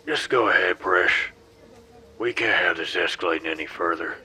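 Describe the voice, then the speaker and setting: A man playing a gruff, weary space sheriff in an audio drama, with a rough, folksy, firm manner. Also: sits low, sharp tone, heavily acted. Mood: resigned. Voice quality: rough, raspy.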